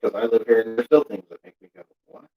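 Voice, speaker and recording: A man's voice: lowish voice, fast talker, phone-quality sound. A young man with a Texan accent, chatting casually on a vlog in a teasing, laid-back way. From Texas. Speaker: vlogger. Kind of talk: casual chat.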